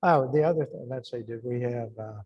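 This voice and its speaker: A man's voice: mid-range voice, a little fast, dull audio. A middle-aged man giving an informal engineering lecture, thinking aloud and restarting phrases as he works through numbers.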